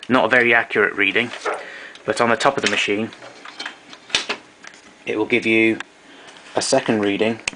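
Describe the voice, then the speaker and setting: A man's voice: lowish voice, steady tone, normal speed, long pauses, background noise. A man giving an informal technical demonstration of equipment, explaining things methodically.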